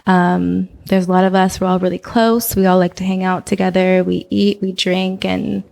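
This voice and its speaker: Young woman from Oakland, low gravelly voice, relaxed chatty interview guest. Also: normal speed. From Oakland, California. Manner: relaxed, chatty, with frequent fillers. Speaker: podcast guest. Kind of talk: casual interview chat.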